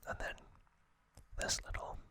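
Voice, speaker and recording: A man whispering slowly and deliberately in breathy, hushed short phrases, as an ASMR host narrating trivia.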